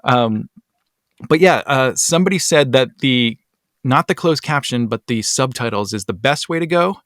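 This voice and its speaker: A man hosting a podcast, talking casually with lots of ums and quick asides. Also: medium pitch, expressive, fairly bright tone, dry recording, clean studio sound. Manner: chatty, many ums, rising asides. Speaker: podcast host. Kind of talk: casual chat.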